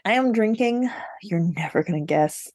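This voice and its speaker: A woman's voice: mid-range voice, expressive, moderate pace, a little mellow, dry recording. A woman co-hosting a podcast in casual chat, with a wry, self-mocking, joking way of talking. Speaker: podcast co-host.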